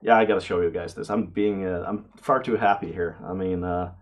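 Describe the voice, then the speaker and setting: A man giving casual unboxing commentary to camera, excitable and prone to awestruck exclamations and hesitations. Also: low voice, fairly quick. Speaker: vlogger, radio amateur hobbyist.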